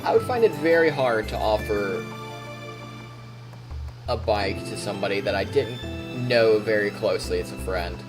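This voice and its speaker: Lively male streamer reading a story aloud. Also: lowish voice, moderate pace. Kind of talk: narration read aloud with casual commentary. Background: game music.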